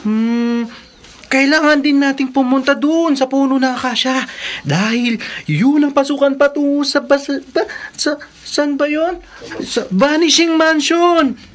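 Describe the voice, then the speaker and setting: A woman's voice: sits high, unhurried. A woman playing a brave, knowing boy who guides others in a horror radio drama, earnest and assured. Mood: urgent, knowing. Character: brave, knowing youth Mark, protective guide against spirits.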